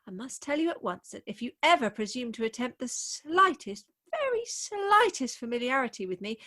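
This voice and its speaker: A woman playing a prim, excitable young Victorian bride in a comic play, with an English accent and emphatic, theatrical delivery, recorded at home over a video call. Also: high voice, full character voice. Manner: theatrical, emphatic, indignant propriety. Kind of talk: comic stage-play acting.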